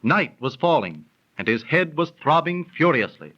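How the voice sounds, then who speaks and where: A knowledgeable storyteller in 1930s radio style. His higher, bright voice swings expressively while he explains things in measured, declamatory phrases, on a muffled old recording. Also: very animated. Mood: earnest storytelling. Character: knowledgeable dinner guest, friendly storytelling bachelor.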